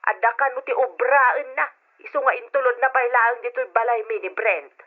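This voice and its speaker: A woman as a concerned, high-pitched Filipino mother. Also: normal speed, close to an everyday voice. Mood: troubled. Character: concerned older mother, questioning and gently reproving. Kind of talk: old-fashioned Ilocano radio drama acting. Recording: dull lo-fi old radio drama.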